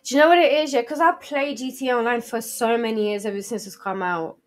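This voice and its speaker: A woman's voice: fairly high pitch, fast. A woman in her twenties from Portugal chats casually as a podcast guest, telling things in slangy English. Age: 20s. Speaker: podcast guest and game streamer. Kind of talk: casual interview chat. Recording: music playing behind the talk.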